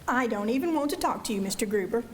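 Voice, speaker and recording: A woman playing a high-voiced, sweet young housekeeper. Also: expressive, fast, lightly acted. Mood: wary. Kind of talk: radio drama acting. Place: school auditorium stage. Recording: live stage recording, faint noise.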